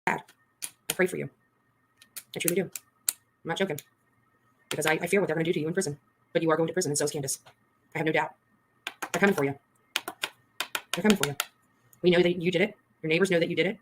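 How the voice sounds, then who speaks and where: A woman's voice: low voice, fairly even intonation, fast talker, pauses often, noisy background. A woman giving emphatic, accusatory commentary on a livestream, repeating her points. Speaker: online case commentator.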